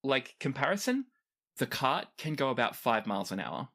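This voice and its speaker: A man's voice: mid-range voice, big pitch swings, moderate pace, vocal fry, pushed and bright. A man working as game master, narrating an improvised tabletop roleplaying story.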